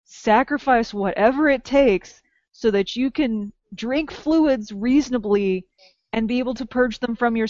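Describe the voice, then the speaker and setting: A woman's voice: on the high side, darkish tone, dry recording. A woman co-hosting a podcast, giving emphatic advice in a casual rant. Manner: ranting, emphatic. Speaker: podcast co-host. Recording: dull lo-fi sound.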